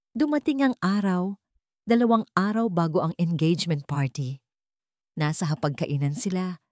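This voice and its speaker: Low-voiced Filipina storyteller, close and dry. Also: female voice, dark tone, no room sound. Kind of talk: scripted dramatic narration of a romance story.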